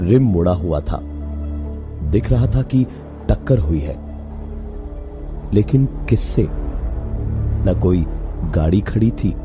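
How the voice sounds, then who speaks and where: A man narrating a scripted horror story in a suspenseful, dramatic way with drawn-out phrasing and pauses. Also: low-pitched. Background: music under the voice.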